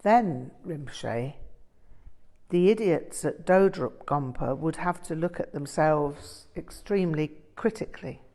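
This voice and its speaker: Eager, argumentative male student, respectful and emphatic, unhurried read by a woman. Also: low voice, animated, dark tone. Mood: emphatic, explaining. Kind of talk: audiobook character dialogue.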